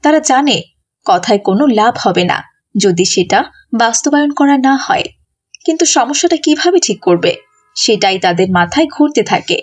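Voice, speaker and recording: A woman's voice: a little high, expressive, moderate pace. A woman narrating a scripted children's story as the storyteller.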